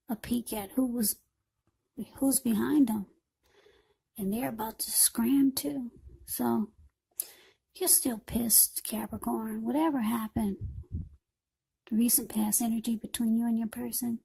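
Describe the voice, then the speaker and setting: A woman with a fairly high, very husky and breathy voice gives an informal tarot reading. Her tone is mellow and soft-edged. She talks straight to the viewer in short, broken phrases, repeating words as she goes. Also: low-quality recording. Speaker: tarot card reader.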